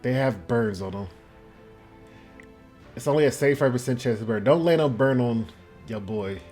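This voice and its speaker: A man's voice: mid-range voice, normal speed, darkish tone, faint music behind. A man giving casual, rambling commentary on a game, reacting aloud as it goes. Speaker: gaming video host.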